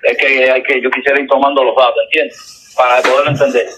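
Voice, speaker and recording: A man with a Cuban accent talking casually on the phone in a confused, halting, repetitive way. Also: mid-range voice, level tone, pushed and bright. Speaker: call recipient, driving test candidate. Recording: dull telephone line with faint noise.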